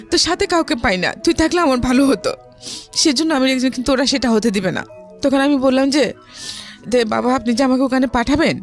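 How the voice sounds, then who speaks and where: A woman with a Bangladeshi accent tells a personal story in a conversational way, as an interview guest. Her voice is high, and soft music plays behind her.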